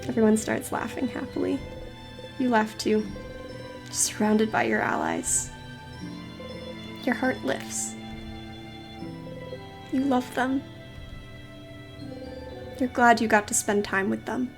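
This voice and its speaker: A woman with a fairly high voice streams a video game. She reads its text aloud as narration and adds her own commentary, with music underneath. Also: steady tone, slow pace, creaky, long pauses.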